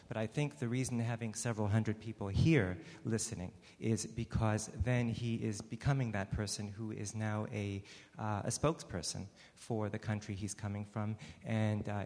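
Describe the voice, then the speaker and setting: A man speaking as a psychiatrist on a panel, measured and academic, often hedging his points. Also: on the low side, darkish tone. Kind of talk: panel discussion. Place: large hall with an audience. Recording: long hall reverb.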